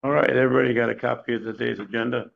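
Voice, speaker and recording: A hoarse man with little voice, chairing a board meeting briskly. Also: mid-range voice, steady tone. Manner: brisk and procedural. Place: meeting room. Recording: dull lo-fi meeting recording.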